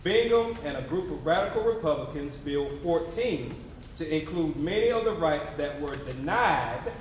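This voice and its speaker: A man delivering scripted theatrical narration on stage in an animated, emphatic, conversational way with wry asides. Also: a little high, normal speed, a little hiss or hum. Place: theatre stage before a live audience. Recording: echoey theatre, distant mic, audience around. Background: audience murmurs and call-and-response.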